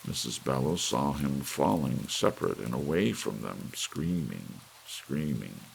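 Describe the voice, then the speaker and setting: A man with a gravelly voice reading an audiobook story in a soft ASMR narration style. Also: rumbling low, expressive, unhurried, poor audio. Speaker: audiobook reader. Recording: faint hiss under the voice. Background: soft rain.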